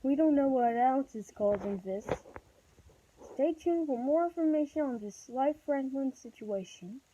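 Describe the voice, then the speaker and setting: A woman reading a homemade mock emergency broadcast announcement in a halting, stumbling delivery. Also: high voice, expressive, warm tone. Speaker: vlogger acting as emergency alert announcer. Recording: dull, muffled home recording with noise.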